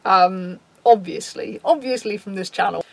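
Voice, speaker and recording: Raspy-voiced woman, frank and sweary. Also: moderate pace, no echo, rough recording. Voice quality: low and raspy. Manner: frank, swears freely, reads out a list and reacts. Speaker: YouTuber. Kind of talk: casual talk to camera. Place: small dim room, indoors.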